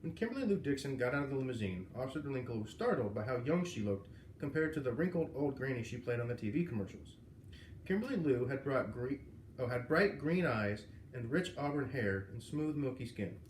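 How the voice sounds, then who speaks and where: A man's voice: mid-range voice, animated, brisk. A man narrating a children's novel aloud. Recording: roomy, echoing, noisy home recording.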